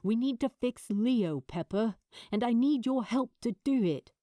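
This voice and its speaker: A woman's voice: fairly high pitch, lively intonation, unhurried, warm tone, dry recording, voice pushed up. Woman voicing an earnest, urgent young man. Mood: urgent, resolute. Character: earnest, driven young male cartoon creator. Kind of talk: audiobook character dialogue.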